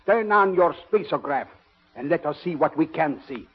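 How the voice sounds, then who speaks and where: A grave commander. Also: male voice, a little high, expressive, husky. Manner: grave, measured theatrical delivery. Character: male scientist commander, grave and decisive. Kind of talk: 1930s radio acting. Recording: dull old radio recording with faint noise.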